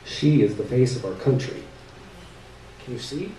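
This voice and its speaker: A man's voice: medium pitch, lively intonation, moderate pace, warm tone, natural voice. An indignant, self-important uncle, acted out by a man reading a story, solemn and emphatic as he schemes petty revenge. The voice is heard in an echoey room, a little away from the mic. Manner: pompous, emphatic. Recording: echoey room, distant mic, faint noise.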